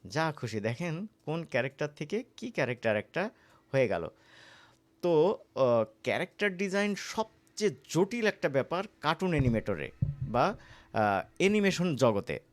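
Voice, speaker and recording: A man with a Bangladeshi accent giving a casual, conversational software tutorial as an instructor, often checking in with the listener. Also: a little high, sing-song, normal speed, long pauses. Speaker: animation course instructor.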